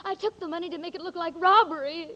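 A hushed, tender young woman. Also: extremely high pitch, fairly even intonation, quick pace. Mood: confessing. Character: quiet young woman with a deadly secret, Ruth. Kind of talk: 1940s radio acting. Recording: dull old radio recording.

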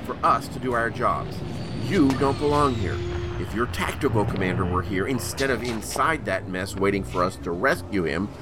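A man's voice: lowish voice, expressive, moderate pace, lightly acted. A man acting as a firm, insistent rescue crew chief in an audio drama. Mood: stern, impatient. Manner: insistent, authoritative. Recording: loud music and engine effects behind.

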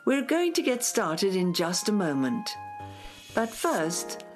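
A woman as a slow, theatrical storybook narrator. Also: medium pitch, sing-song, music in the background. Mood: presenting. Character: humble storyteller introducing a children's space play. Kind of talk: scripted radio play narration for children.

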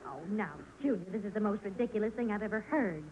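A woman's voice: mid-range voice, animated, fast, lightly acted. An actress playing an earnest, principled middle-aged mother who pleads and scolds in an old-time radio sitcom. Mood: firm. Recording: muffled, noisy vintage radio recording.

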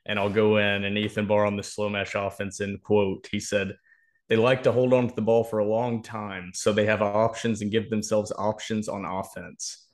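Low-voiced man breaking down football. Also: level tone, moderate pace. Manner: opinionated, emphatic. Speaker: sports podcast host. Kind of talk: football preview analysis, conversational.

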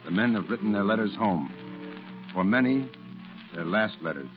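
Actor as grave war correspondent, muffled old radio. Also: male voice, lowish voice, animated, normal speed, natural voice. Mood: grave, solemn. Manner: dramatic, grave, declamatory. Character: solemn war correspondent narrating a battle diary, male. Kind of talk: 1940s radio drama narration. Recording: dull old radio recording with music.

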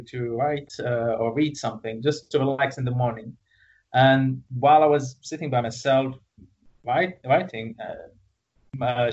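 A man from Iraq speaks at an ordinary middle pitch over a dull, lo-fi call line. He talks haltingly, restarting his sentences and laughing between phrases, as a guest in a casual interview. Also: darkish tone.

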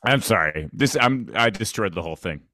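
A man with a low, deep voice is a guest in a casual interview, recorded dry with no room sound. He rambles a bit and pokes fun at himself. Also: animated, a little fast, bright, forceful tone, no room sound. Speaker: podcast guest, comedian, actor and sports PA announcer.

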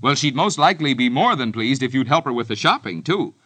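Old-time radio ad guy, cheerful pitch to kids, bright lively voice, dry close sound. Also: brisk, breathy edge, no echo. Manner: cheerful, addressing children. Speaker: announcer. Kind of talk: vintage radio commercial.

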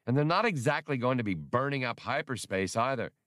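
A man's voice: mid-range voice, lively intonation, brisk, a bit bright, dry recording, clean studio sound, pitched above the performer's own voice, lightly acted. A man voicing a brash, impatient and sarcastic smuggler pilot who argues in an exasperated way, in an audiobook. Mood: incredulous, irritated. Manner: exasperated, argumentative.

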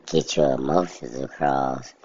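A middle-aged man, talking casually about his own life in a level, monotone, plainspoken way. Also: very deep voice, husky. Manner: unhurried, reflective, plainspoken. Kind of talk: casual personal monologue. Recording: slightly dull lo-fi recording.

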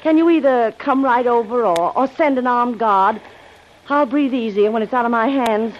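An actress playing a plucky, earnest young heroine in old radio drama style. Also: female voice, a little high, normal speed, a little hiss or hum, natural voice. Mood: urgent, concerned. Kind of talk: 1940s radio acting. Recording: dull old radio recording.

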